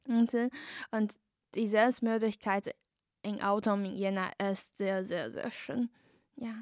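Slow, hesitant woman speaking learner German. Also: medium pitch, fairly even intonation, no echo, studio quality. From Taiwan. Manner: hesitant learner's German with many fillers and restarts. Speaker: exchange student guest. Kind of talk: podcast interview.

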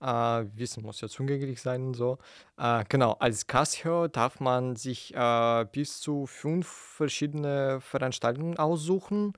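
A man's voice: medium pitch, a little slow, clean tone, no room sound, studio quality. A young man from Ukraine in his twenties, a student guest in a casual podcast interview, chatty, with lots of fillers and self-corrections. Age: young adult. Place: small studio.

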